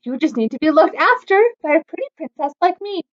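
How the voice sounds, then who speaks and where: Woman as excitable, high-voiced young princess. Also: fairly quick, lo-fi sound. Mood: eager, gushing. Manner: eager, exclamatory. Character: gushing, excitable, spoiled young storybook princesses.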